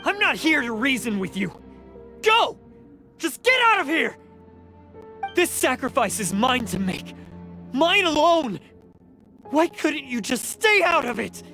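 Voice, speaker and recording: A proud, tormented young oni from a video game in a fairly high male voice. His delivery is earnest, formal and expressive, with music behind it. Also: measured pace, pauses often, exaggerated voice. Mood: desperate, defiant.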